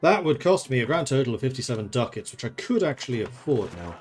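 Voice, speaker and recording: A man from the Netherlands streams a strategy game, musing aloud over his moves in a mid-pitched, easygoing voice. He chats with viewers between decisions and laughs freely. Faint music plays underneath. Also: big pitch swings, fast. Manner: thinking aloud, chatty, laughs often. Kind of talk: casual gameplay commentary.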